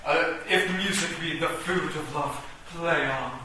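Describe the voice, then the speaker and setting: A man's voice: a little high, unhurried, far from the mic, natural voice. A man playing a lovesick chess knight who declaims florid Shakespearean verse in a comic radio play. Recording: echoey, faintly noisy stage recording from afar.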